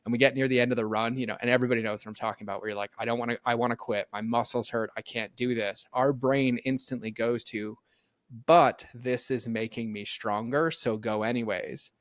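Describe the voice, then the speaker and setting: A man's voice: medium pitch, steady tone, fairly quick, no room sound. A middle-aged man talking earnestly and animatedly as a podcast guest, full of "like" fillers. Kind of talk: casual faith conversation.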